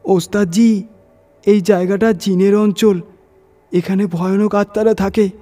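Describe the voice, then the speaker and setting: Man performing truck driver's helper, frightened, superstitious, raised pitch, urgent, horror audio story, faint music. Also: fairly even intonation, a little slow, warm tone. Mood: fearful warning. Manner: alarmed, urgent, addressing his boss respectfully.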